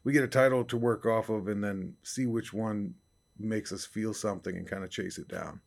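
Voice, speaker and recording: A young man from upstate New York, a singer-songwriter being interviewed, talking casually in a raspy baritone with easygoing fillers. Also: fairly even intonation, moderate pace. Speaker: country singer-songwriter guest.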